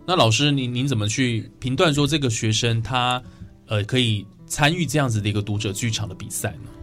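A man's voice: medium pitch, brisk, a bit bright, soft background music. A man with a Taiwanese Mandarin accent hosting a radio interview. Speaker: radio host.

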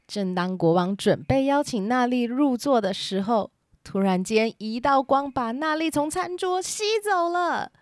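A woman's voice: fairly high pitch, expressive, unhurried, dry recording, studio quality. A woman hosting and narrating a story for children. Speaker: storyteller, host. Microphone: close, simple home recording.

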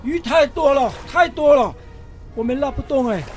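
A man's voice: very high voice, normal speed. An actor playing a plain-spoken, fretful old fisherman in a biblical radio drama, with a theatrical, plaintive delivery. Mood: urgent.

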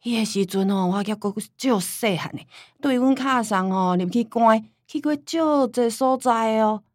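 A woman's voice: a little high, moderate pace, a little mellow, no room sound, clean studio sound, lightly acted. A woman acting a stubborn old Taiwanese grandmother. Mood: wistful, reminiscing. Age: older. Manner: rambling, folksy storytelling with sighing interjections. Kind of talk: radio drama acting in Taiwanese Hokkien.

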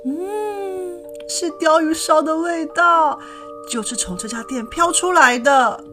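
Woman doing an excitable high-pitched little boy. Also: slow pace. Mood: longing. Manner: excitable, exclaiming. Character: eager, wide-eyed young boy who loves fishing. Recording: music behind the voice.

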